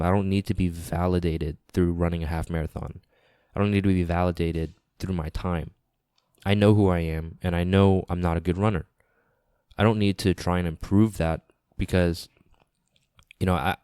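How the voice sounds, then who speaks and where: A man with a deep, resonant voice speaks earnestly and informally, as a podcast host alone at the microphone. He sounds congested and a little nasal from a lingering cold, and he restates phrases for emphasis. The sound is close and dry. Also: a little mellow, dry recording. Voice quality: slightly raspy, nasal. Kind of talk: casual podcast monologue.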